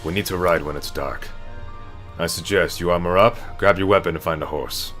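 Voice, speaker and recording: A man playing a stern, authoritative fantasy knight captain who gives clipped orders with a gruff but fatherly air. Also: low voice, fairly quick, fairly bright tone. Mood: brisk, commanding. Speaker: military commander. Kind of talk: fantasy audio roleplay acting. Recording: orchestral game music behind.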